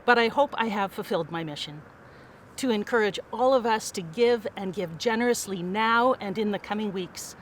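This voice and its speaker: A woman's voice: medium pitch, moderate pace, slight background noise. A woman giving a prepared speech that urges listeners to give generously. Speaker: fundraising speaker.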